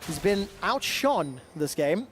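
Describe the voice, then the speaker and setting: High-voiced play-by-play esports caster. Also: male voice, big pitch swings, background noise. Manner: dry banter, brisk play-by-play. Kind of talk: play-by-play commentary. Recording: music bed under commentary.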